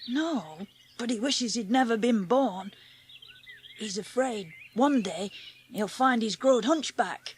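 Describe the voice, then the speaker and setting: A woman voicing a warm-hearted, plain-spoken young country character in a dramatised audiobook. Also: on the high side, expressive, takes it slowly, slight background noise. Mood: earnest, kindly. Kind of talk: audiobook character acting in rustic dialect.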